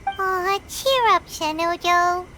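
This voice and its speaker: A woman voicing a tiny, cheerful and teasing fairy-like companion with a squeaky voice in a video game. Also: expressive, slow pace, bright, forceful tone, slight background noise, exaggerated voice. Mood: consoling.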